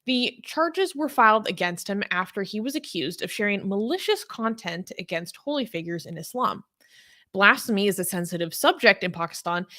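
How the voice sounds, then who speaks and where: Animated woman with a bright voice. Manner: animated, rises in pitch when outraged; chatty with many fillers. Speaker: co-host reading news. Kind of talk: casual news discussion.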